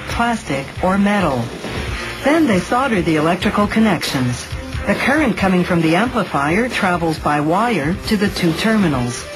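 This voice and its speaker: A woman narrates an explanatory documentary in a studio voice-over. Her pitch is average for a woman and her delivery is measured and instructional. Music plays clearly behind her. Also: poor audio. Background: background music.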